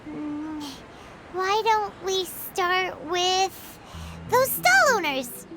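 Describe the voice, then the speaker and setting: Squeaky, very high childlike fairy companion. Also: female voice, very slow, loud music behind the voice, heavily acted. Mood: thoughtful. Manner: talks of herself in the third person, expressive. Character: small childlike female fairy companion, earnest, chatty. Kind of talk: English game dub cutscene dialogue.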